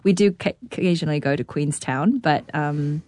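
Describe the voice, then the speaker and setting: A woman with a New Zealand accent speaks in a slightly low voice, earnest and searching as she thinks aloud in questions. The recording is dry and close. She sounds like a guest in a relaxed interview. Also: moderate pace, no room sound. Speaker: guest, orchestra conductor. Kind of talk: reflective interview conversation.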